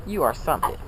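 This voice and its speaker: Lively grandmother coaxing and encouraging. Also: deep voice, noisy background. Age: middle-aged or older. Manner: encouraging, with exclamations and repeated prompts. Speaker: grandmother coaching a child to read. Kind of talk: casual home chat, coaxing and prompting.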